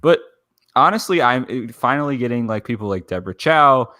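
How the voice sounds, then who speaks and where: A man's voice: mid-range voice, animated, moderate pace, bright, forceful tone, no room sound, studio quality. A man co-hosting a podcast, talking casually with other fans. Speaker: podcast co-host. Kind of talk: casual fan podcast chat.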